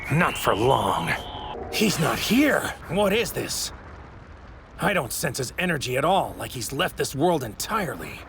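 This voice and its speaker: An actor in an English anime dub playing an arrogant, sadistic god-like villain with a haughty, theatrical delivery and a gravelly, sharp-edged voice. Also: male voice, mid-range voice, very animated, unhurried. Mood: angry, menacing. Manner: haughty, theatrical, savoring his words. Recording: ripped anime audio with faint noise.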